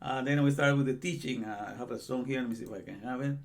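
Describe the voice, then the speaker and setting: A man's voice: medium pitch, fast talker, gravelly. A man in his sixties, from Guatemala, giving an informal religious lesson in a rambling way with many asides and repeated phrases. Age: 60s. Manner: rambling, repeats himself, frequent "you know". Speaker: religious teacher.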